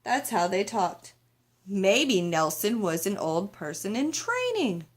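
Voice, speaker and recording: Female storybook reader, expressive narration for young children, medium pitch. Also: takes it slowly. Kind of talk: expressive read-aloud of a children's picture book, with character voices.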